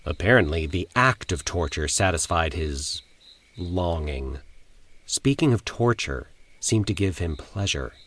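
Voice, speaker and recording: A man's voice: low-pitched, expressive, measured pace, fairly bright tone. A man narrating a scripted first-person horror story in a formal, dramatic manner.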